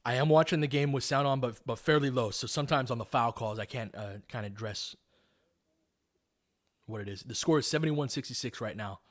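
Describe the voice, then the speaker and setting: A man's voice: medium pitch, brisk. A man calling live basketball play-by-play in a casual, conversational, analytical way. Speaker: play-by-play host.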